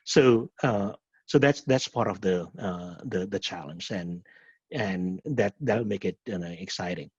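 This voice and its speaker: A middle-aged man from Indonesia with an Indonesian accent and a husky voice, a professor answering questions at a webinar in a halting way, often repeating words and restarting. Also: low voice. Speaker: professor and program director. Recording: muffled, echoey webinar audio.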